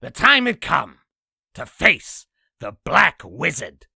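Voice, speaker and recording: A man voicing a boastful raspy demon, telling an exaggerated battle story theatrically for a comic dub. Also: on the high side, wildly swooping pitch, takes it slowly, bright, forceful tone, no room sound, exaggerated voice. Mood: boastful, grandiose. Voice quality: raspy, gravelly. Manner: theatrical, self-aggrandizing. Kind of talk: comic dub character narration.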